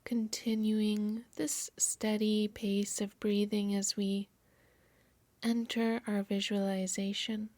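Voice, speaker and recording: A woman yoga nidra instructor softly narrating a guided meditation in a soothing, measured, breathy voice. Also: medium pitch, level tone, no room sound. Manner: soft, soothing, measured delivery.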